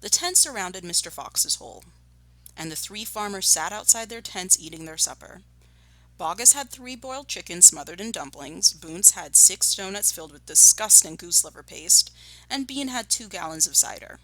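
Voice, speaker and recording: A woman primary school teacher reads to pupils and explains tasks deliberately. Her voice is slightly low for a woman, with a bright, forceful tone. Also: animated, rough recording. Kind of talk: storybook read-aloud and lesson instruction.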